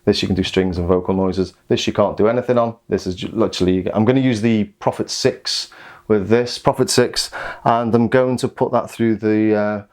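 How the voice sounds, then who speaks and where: A man's voice: fairly low pitch, steady tone, moderate pace, darkish tone. A British man giving a casual, rambling product overview as a gear reviewer.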